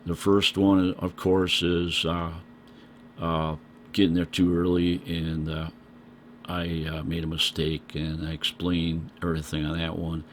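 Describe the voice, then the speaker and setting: An older man with a scratchy voice, talking casually to his vlog audience with many pauses and "uh"s. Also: low-pitched, flat delivery. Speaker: vlogger. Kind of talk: casual vlog talk to camera.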